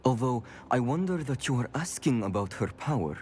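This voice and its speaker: An actor playing a grave, cautious older wizard professor in a video game, speaking in a formal, measured way. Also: male voice, mid-range voice, lively intonation, darkish tone, a little hiss or hum, lightly acted. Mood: grave, guarded. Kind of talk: scripted video game acting.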